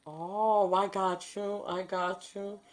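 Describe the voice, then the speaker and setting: A woman's voice: mid-range voice, level tone, slow pace. Chatty New York woman, Spanish-tinged accent. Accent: New York with Spanish influence. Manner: chatty, talks to viewers by name, laughs often. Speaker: nail art livestream host. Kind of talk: casual live chat while demonstrating.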